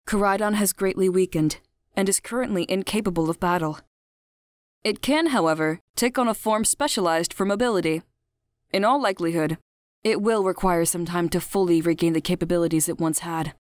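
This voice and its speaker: Woman doing a calm formal lady scientist from a video game, precise n polite, really dry recording. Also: lowish voice, a little fast, no room sound, lightly acted. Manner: formal, precise, even delivery. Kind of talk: video game character voice reel, scripted.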